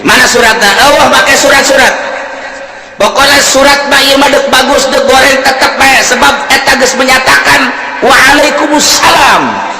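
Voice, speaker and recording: Seorang pria memerankan lelaki keras kepala berlogat Sunda. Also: male voice, extremely high pitch, normal speed, vocal fry, pitched above the performer's own voice. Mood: insistent. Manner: insistent, indignant. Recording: echoey hall, noisy live recording.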